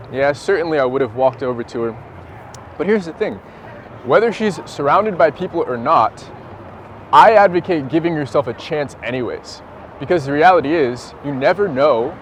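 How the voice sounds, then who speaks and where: Young American man over loud street noise. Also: fairly high pitch. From San Francisco, United States. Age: 20s. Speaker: dating coach and presenter. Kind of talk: casual street chat and talk to camera. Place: outdoors on a busy London street. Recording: noisy city street, traffic behind.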